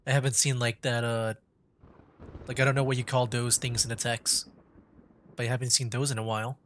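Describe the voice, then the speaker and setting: A man's voice: medium pitch, little pitch movement, fairly quick, fairly bright tone, a little hiss or hum. A man giving chatty, informal live commentary as he streams a video game. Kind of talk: casual live gameplay commentary.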